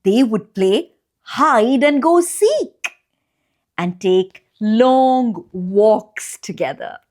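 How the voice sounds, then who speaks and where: Slow, very animated woman storyteller. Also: fairly high pitch. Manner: expressive, deliberate phrasing with drawn-out pauses for young listeners. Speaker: children's storyteller and channel host. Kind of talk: read-aloud bedtime storytelling.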